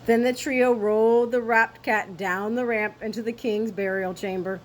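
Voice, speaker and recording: A woman storyteller reading a children's story aloud with expressive, theatrical emphasis. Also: fairly high pitch, normal speed, slight background noise, poor audio.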